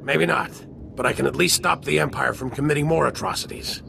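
Military commander for a game: deep-grained fry, resolute, earnest, authoritative, noisy ambience. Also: male voice, a little fast. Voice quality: gravelly. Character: grim, determined male military general. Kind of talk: video game voice acting.

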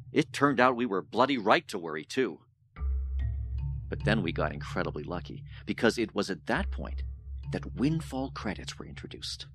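A man's voice: low voice, a bit bright. An actor playing an older male professor in an audio drama, lecturing in formal, condescending, coldly rational tones. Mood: proud, lecturing. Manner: pompous, lecturing, formal wording. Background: music.

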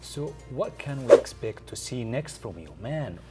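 A middle-aged man hosting a celebrity fashion interview, asking halting, effusive questions full of fillers. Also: mid-range voice, animated. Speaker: celebrity TV host. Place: studio set, seated on a couch. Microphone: desk microphone on a stand. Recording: music playing underneath.

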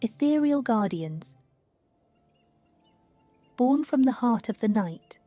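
A woman reading a scripted guided sleep meditation in a slow, soothing, hushed manner. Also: a little high, lively intonation, no echo. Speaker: meditation narrator. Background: music.

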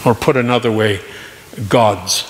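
A middle-aged man speaking as a minister in prayer and sermon, with solemn, deliberate phrasing and pauses. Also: fairly low pitch. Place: large church sanctuary, masked at pulpit. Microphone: pulpit microphone. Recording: echoey church hall, faint noise.

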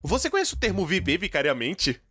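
A man with a Brazilian accent telling a comedic first-person story as a voice-over in an animated, conversational style. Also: high voice, brisk, slightly rough, no echo, studio quality.

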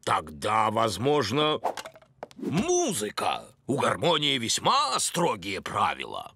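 An actor playing a courtly, worldly old crow in a children's cartoon, speaking in a genteel, pondering way. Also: male voice, fairly low pitch, huge pitch swings, takes it slowly, gravelly, noisy background, heavily acted.

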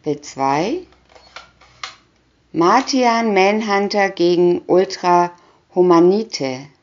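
A woman's voice: on the low side, expressive, drawn-out words, dull audio. A woman doing a scripted reading of a children's picture booklet, announcing short captions one by one. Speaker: reader.

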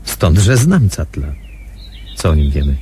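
An actor playing a wary, thoughtful undercover investigator in a radio drama, with a measured, questioning manner. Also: male voice, low voice, sing-song, dark tone. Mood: wary, probing. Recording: noisy recording with background ambience.

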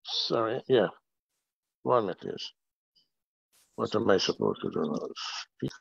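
An older man from Guyana telling his life story on a video call, slow and halting with many fillers. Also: fairly low pitch, lively intonation, vocal fry, breathy edge, dry recording. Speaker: guest speaker. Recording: muffled video call audio.

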